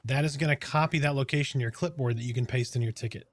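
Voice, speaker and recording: A man with a calm, soothing voice chatting casually on a game stream, explaining things step by step in a relaxed, rambling way. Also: mid-range voice, quick pace, no echo. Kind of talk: casual live-stream chat.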